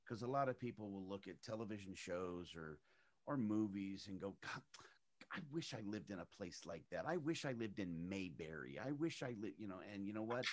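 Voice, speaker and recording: Deep-voiced man telling lively stories. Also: moderate pace. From United States, raised in Arizona. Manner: animated, acts out quoted people with jumps in pitch. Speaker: actor, interview guest. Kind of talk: casual interview storytelling.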